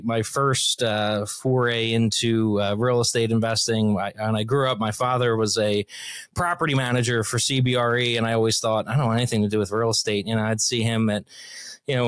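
A man's voice: medium pitch, smooth, dry recording. A man in his thirties from Philadelphia, talking easily as a podcast guest, rambling a little with frequent fillers. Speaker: guest, real estate investor. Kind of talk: casual interview talk.

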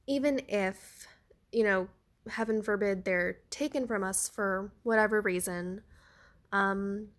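A young woman talks straight to her listeners in a loose, chatty podcast ramble. Her voice sounds stuffed up and nasal from congestion, and she fills gaps with "like" and "you know." Her pitch sits in the middle range for a woman. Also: fairly bright tone. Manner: chatty, lots of fillers. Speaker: podcast host; theater actor. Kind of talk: solo podcast chat.

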